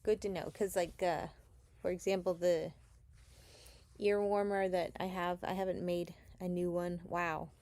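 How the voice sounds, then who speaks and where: A woman's voice: fairly low pitch, level tone, unhurried, a little mellow, no echo. A woman who was born in Chile, chatting casually during a live selling stream, rambling a little and thanking buyers. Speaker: live seller. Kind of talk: casual livestream chat. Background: crinkling sticker packs.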